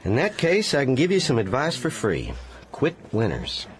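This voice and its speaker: An actor playing a folksy, bookish casino croupier with an American accent in a radio drama. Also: male voice, medium pitch, wildly swooping pitch, normal speed. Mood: confiding.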